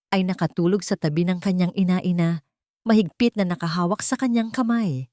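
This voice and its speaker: A woman reading a romantic drama story in a steady, dramatic storytelling manner. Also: on the low side, no echo, studio quality. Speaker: narrator. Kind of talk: scripted story narration.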